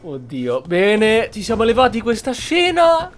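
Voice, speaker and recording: A man with a high-pitched voice comments casually on a game. He is emphatic and opinionated, repeating himself and restarting his phrases. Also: huge pitch swings, faint music behind. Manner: emphatic, repetitive, halting self-corrections. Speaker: gameplay streamer.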